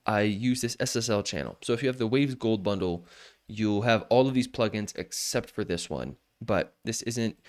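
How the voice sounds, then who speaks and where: A man teaching vocal mixing in a casual, loose tutorial from a home studio, often restarting his sentences as he explains. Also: fairly low pitch. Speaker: mixing instructor. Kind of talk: casual screen-share tutorial.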